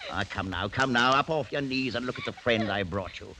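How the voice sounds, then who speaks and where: An actor playing a cruel, scheming older aristocratic uncle in a mid-century radio drama, speaking with mock courtesy and theatrical relish. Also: male voice, medium pitch, a little fast, close to an everyday voice. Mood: gloating, mock-kindly. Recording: noisy vintage radio recording.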